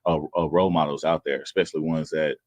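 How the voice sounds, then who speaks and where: Very deep-voiced man, earnest. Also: fairly even intonation, fast, a little mellow, no echo. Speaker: personal trainer, guest. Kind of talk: earnest conversational interview.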